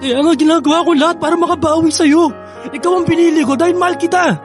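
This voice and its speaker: A guilt-ridden young Filipino husband in a radio drama. His voice is high for a man and fast, pleading and full of emotion, with faint music underneath. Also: steady tone. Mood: anguished, defensive. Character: grieving, guilt-ridden estranged husband who pleads for forgiveness.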